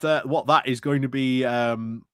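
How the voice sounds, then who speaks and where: A man's voice: medium pitch, steady tone, measured pace, smooth, no echo. Male live-stream political commentator, sardonic, scornful, casual, very dry close sound, repeats for emphasis. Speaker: streamer commentating on a council meeting.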